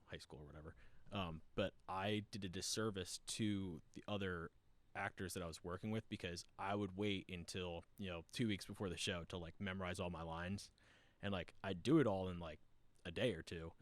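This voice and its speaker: Man, podcast guest, very low voice, dry close sound, rambling reflective chat. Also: no room sound. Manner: rambling, reflective, many fillers. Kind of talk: casual chat.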